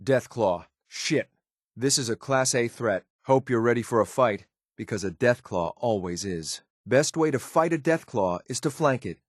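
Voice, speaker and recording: A man's voice: medium pitch, lively intonation, moderate pace, no echo, clean studio sound, close to an everyday voice. A man voicing a stern, dutiful synth soldier companion in a video game, speaking in a clipped, matter-of-fact military way with some disdain. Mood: watchful, tactical.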